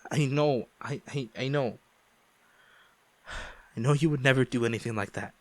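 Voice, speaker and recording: A man acting a guilt-ridden ex-boyfriend pleading for forgiveness in an intimate audio roleplay, halting and emotional. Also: medium pitch, darkish tone, no room sound, lightly acted. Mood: regretful, earnest. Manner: halting, emotional, sighing, repeats himself.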